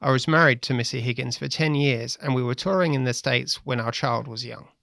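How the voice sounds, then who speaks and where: A man with a gravelly voice, reading first-person anecdotes as a playwright. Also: mid-range voice, fairly quick, fairly bright tone, dry recording, studio quality.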